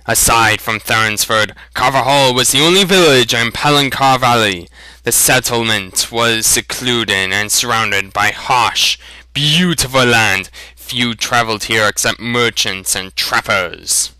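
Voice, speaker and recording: Slow, bright-voiced male storybook reader. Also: medium pitch, no echo, low-quality recording. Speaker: reader of a fan dramatic reading. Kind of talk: dramatic storybook narration.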